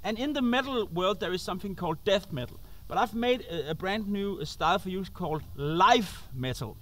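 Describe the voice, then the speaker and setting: A man's voice: sits high, lively intonation, a bit bright. A man in his thirties with a Danish accent, talking informally to camera as a host and feeling his way through English. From Denmark. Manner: halting, searching for English words. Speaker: host and musician. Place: outdoors on an open roadside.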